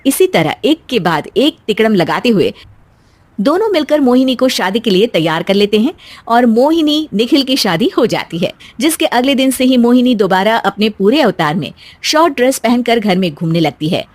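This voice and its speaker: A woman's voice: a little high, big pitch swings, moderate pace, no echo. A woman giving scripted narration for a cartoon story.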